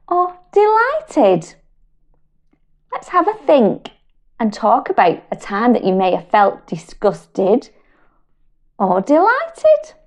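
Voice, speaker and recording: A British woman reading a picture book aloud to children in a gentle, expressive way, rising high on her questions. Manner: slow, gentle and expressive, with big pitch lifts on questions. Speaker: storyteller and wellbeing educator.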